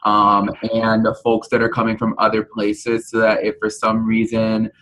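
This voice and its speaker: A man giving an informal report on a work conference call, speaking in a hesitant, halting way. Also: completely flat delivery, a bit bright. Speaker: committee member presenting a report. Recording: noisy call with low rumble.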